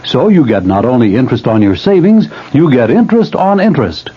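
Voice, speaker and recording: A man with a husky, mid-pitched voice reads a radio commercial as announcer. His delivery is highly inflected, on a slightly muffled vintage recording. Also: sing-song, brisk. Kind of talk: scripted radio advertisement.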